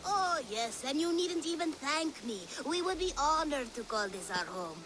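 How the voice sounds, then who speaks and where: A woman's voice: high voice, lively intonation, normal speed, a crowd in the background. A woman playing a shrewd, gracious merchant in a video game, with formal salesmanlike courtesy. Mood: smooth, courteous. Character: shrewd, gracious merchant leader of a secret trading network. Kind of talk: video game cutscene acting.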